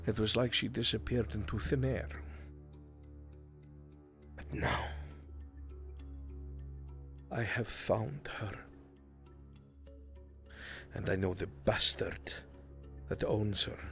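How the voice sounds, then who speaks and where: A man playing a grave, earnest character in a fantasy roleplay, speaking deliberately with dramatic pauses. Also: on the low side, vocal fry, a bit bright, soft background music, full character voice. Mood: bitter, grim. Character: grave, earnest man pleading for a lost enslaved friend. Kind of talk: in-character improvised fantasy acting.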